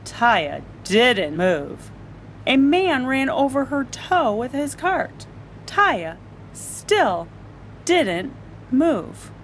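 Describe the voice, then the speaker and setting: A woman storyteller reading a children's story aloud in an animated, expressive way. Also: fairly high pitch, a little hiss or hum, low-quality recording.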